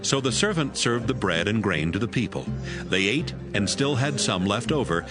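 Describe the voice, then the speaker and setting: Male Bible narrator, lowish pitch, expressive scripted storytelling, light music bed. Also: moderate pace.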